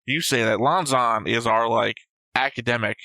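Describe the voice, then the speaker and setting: A man with a bright, forceful voice, recorded close and dry. He talks casually and argumentatively, picking a story apart point by point, with some swearing. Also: mid-range voice, moderate pace, creaky, no room sound. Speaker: host of an anime reaction show. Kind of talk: casual discussion and analysis of an anime episode.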